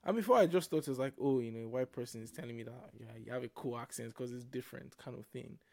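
A man's voice: mid-range voice, fast, vocal fry, mellow, no echo. A young man with a Nigerian accent chats on a podcast about his own life. His voice sits in a middle range and sounds dry and close to the mic. He circles his thoughts, restarting words as he goes. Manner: reflective, repeats and restarts words. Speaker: podcast co-host. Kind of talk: casual faith-based podcast chat.

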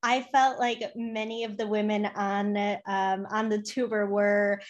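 A woman's voice: mid-range voice, little pitch movement, measured pace, lo-fi sound. An American woman in her thirties telling personal stories as a podcast guest, in a chatty, informal way. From United States, born in Poland, grew up in Chicago. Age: 30s. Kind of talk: casual personal storytelling.

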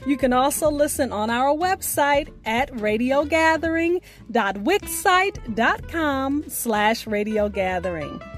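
High-pitched woman podcast host, slow and deliberate. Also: animated, soft background music. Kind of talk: scripted podcast sign-off and announcements.

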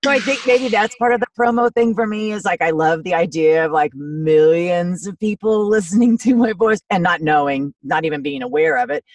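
A woman in her fifties with a low, raspy voice, chatting in a rambling, self-deprecating way as a voiceover actor guest in a podcast interview. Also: a little fast, few pauses, far from the mic. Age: 50s.

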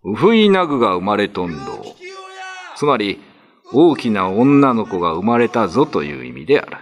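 A man's voice: lowish voice, big pitch swings, normal speed, gravelly. A man narrating a classical ghost story for radio drama in a theatrical, ominous, scripted style. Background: music.

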